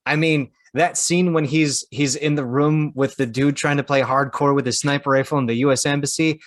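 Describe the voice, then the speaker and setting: A man's voice: a little high, steady tone, normal speed, no room sound, clean studio sound. A man co-hosting a podcast, talking casually and emphatically in slangy, informal phrasing. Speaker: podcast co-host. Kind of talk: casual chat about movies and games.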